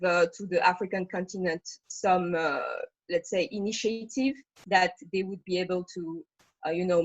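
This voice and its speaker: A woman's voice: medium pitch, no room sound. A woman from Senegal speaking as a panelist in a webinar, talking spontaneously with hesitations and fillers. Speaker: webinar panelist, managing director. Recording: dull, dry video-call audio.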